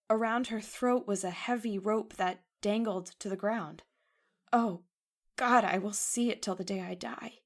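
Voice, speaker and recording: A woman acting a shaken young woman in a radio horror drama, talking in an emotional, pleading way. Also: medium pitch. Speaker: voice actor.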